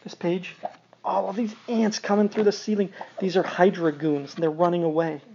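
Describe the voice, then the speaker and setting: A man with a soft, mellow voice reads a storybook to a small child in a casual, wry way. He sounds conversational, moving between the text and his own asides. The recording is slightly muffled, with faint background noise. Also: high voice, level tone, normal speed. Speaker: podcast host reading a picture book aloud to a young child.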